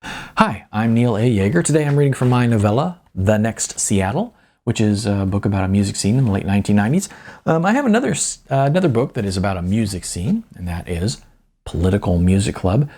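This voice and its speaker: A man narrating a first-person story in a wry, colloquial, emphatic storytelling manner, with a slightly husky voice. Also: lowish voice, big pitch swings, fairly quick, mellow. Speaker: author reading own novella.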